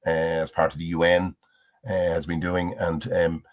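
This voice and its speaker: A man with an extremely low voice joins a panel discussion. He speaks slowly and stops often on "uh" and "um". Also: flat delivery. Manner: hesitant, heavy uh and um fillers. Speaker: panel guest.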